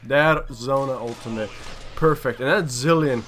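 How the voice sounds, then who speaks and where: A man's voice: medium pitch, measured pace, music in the background. A man giving casual, chatty commentary on a video game replay as one of the players.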